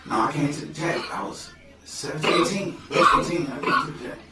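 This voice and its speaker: A man with a Detroit accent, a guest rapper telling stories in slangy, animated casual podcast talk. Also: a little high, normal speed, echoey room, distant mic, very noisy. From Detroit, USA.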